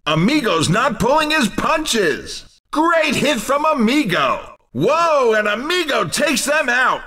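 An actor playing an excitable video game race commentator, calling out hits in a punchy, exclamatory shout. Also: male voice, very high-pitched, recorded from a distance, exaggerated voice. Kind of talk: excited arcade sports commentary.

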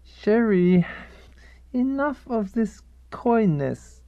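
A woman's voice: fairly high pitch, big pitch swings, phone-quality sound, slight background noise, exaggerated voice. A woman playing a literal-minded reader in a radio comedy, stumbling as she sounds out words of romance fiction. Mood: halting reading. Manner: halting reading, sounding words out.

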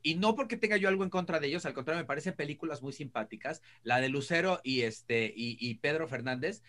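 High-pitched middle-aged Mexican man chatting. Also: fast, fairly bright tone. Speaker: talk show co-host. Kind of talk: casual anecdotal chat. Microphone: video call.

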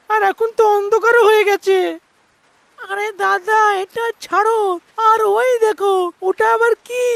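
A woman voicing panicky, complaining village farmers in a melodramatic cartoon, exclaiming and whining. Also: extremely high pitch.

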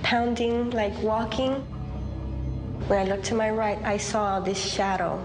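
Woman witness talking slow, halting, big echo, music in the back. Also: mid-range voice, steady tone. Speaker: witness giving an interview. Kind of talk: halting account of a personal experience. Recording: very echoey space, music over it.

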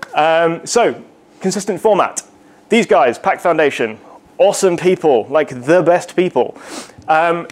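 A young man giving a casual, rambling technical talk at a meetup, with lots of fillers and asides. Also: a little high. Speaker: conference speaker. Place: meetup room in front of a projected slide.

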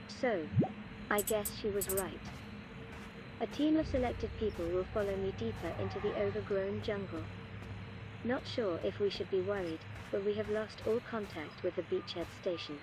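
Game role: earnest female expedition leader played by a woman, composed archaeologist dictating logs, medium pitch, clearly noisy ambient backdrop. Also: normal speed, natural voice. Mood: intrigued, businesslike. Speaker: game character recording audio logs. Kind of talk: scripted audio-log narration, game voice acting.

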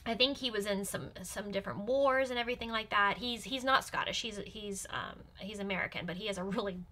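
A woman's voice: medium pitch, fairly quick, pushed and bright. A young woman giving casual commentary on a TV episode as a longtime fan. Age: young adult. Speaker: reaction show guest and longtime fan. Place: small cosy room indoors.

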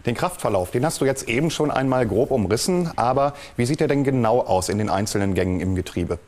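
A man acts as the presenter of a training film. His voice sits at a medium male pitch. He sums up points in a structured way and hands over with clear questions. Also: animated, brisk, a little mellow.

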